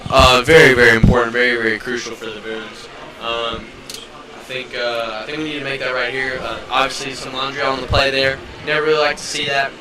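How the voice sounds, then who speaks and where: Guy doing color commentary, lots of ums and uhs, mid voice, far off mic with echo and a loud crowd. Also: medium pitch, little pitch movement, brisk. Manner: loose, filler-heavy, upbeat praise. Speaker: color analyst.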